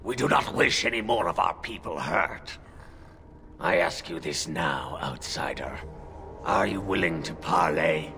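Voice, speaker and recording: Gravelly, slow werewolf gatekeeper. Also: male voice, deep voice, animated, noisy background. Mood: wary, formal. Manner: slow, menacing, formal. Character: gruff male werewolf gatekeeper, wary and fiercely loyal. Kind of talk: fantasy video game acting.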